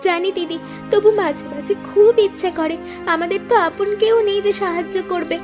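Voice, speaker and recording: Cartoon younger sister, female actor: tiny, very high voice, sweet, eager, affectionate; music bed. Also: a little fast. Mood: wistful. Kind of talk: Bengali cartoon moral-story dialogue.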